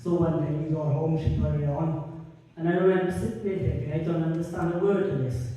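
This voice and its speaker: A young Welsh man doing a stand-up set, telling stories deadpan and building to loud punchlines. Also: on the high side, fairly quick. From Wales. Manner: deadpan storytelling with rising punchlines. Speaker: stand-up comedian. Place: comedy gig venue with audience. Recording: echoey hall from far off.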